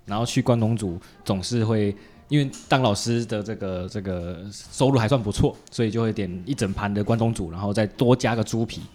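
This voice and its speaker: A man with a somewhat low voice speaks in Taiwanese Mandarin. He comes across as a podcast host in casual conversation. His delivery is colloquial, sprinkled with little particles as he explains and asks. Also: fairly quick, mellow. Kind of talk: casual chat and storytelling.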